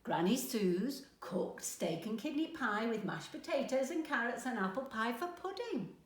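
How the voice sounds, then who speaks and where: Slow, animated woman reading a storybook. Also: a little high, roomy sound. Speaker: storyteller, grandmother. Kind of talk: children's storybook narration read aloud.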